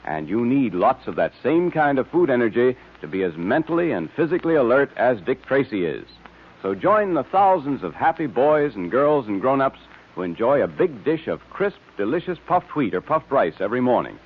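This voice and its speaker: A man's voice: medium pitch, big pitch swings, normal speed, husky. A male announcer reading scripted 1940s radio narration with emphatic, salesmanlike delivery. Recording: tinny narrowband old radio recording.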